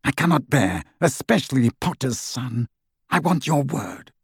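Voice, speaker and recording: Audiobook role: bitter, anguished wizard in middle age man performing, husky, intense and contemptuous, very dry sound. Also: medium pitch, big pitch swings, measured pace, no room sound, exaggerated voice. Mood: urgent, pleading. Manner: tormented, clipped, contemptuous delivery.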